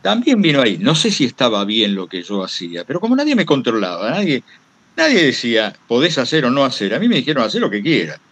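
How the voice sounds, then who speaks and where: Man, Argentine accent, very lively intonation, mid-pitched, rambling anecdotal teacher, repeats himself for emphasis. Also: fast talker, husky. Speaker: workshop guest speaker, veteran radio broadcaster. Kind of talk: informal teaching talk.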